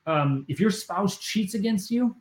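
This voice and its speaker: A man's voice: sits high, animated, a little slow, a little mellow. Earnest man host in an echoey room. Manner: earnest, emphatic, often adds "right?". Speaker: podcast host. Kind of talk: conversational faith podcast interview.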